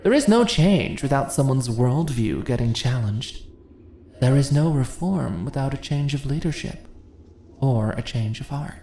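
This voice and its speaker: Low-voiced, imperious ancient goddess, acted by a woman. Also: animated, warm tone, full character voice. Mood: stern, persuasive. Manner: theatrical, deliberate, condescending yet coaxing. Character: ancient seductive goddess, imperious, teasing, persuasive. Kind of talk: ASMR fantasy roleplay, intimate dramatic monologue. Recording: roomy echo, audible ambient noise.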